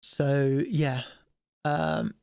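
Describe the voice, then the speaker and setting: A man's voice: medium pitch, sing-song, drawn-out words, gravelly, darkish tone, no room sound, studio quality. A man with a cold and a stuffy nose, chatting casually while hosting a live trading stream and asking viewers for likes. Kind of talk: live-stream chart commentary.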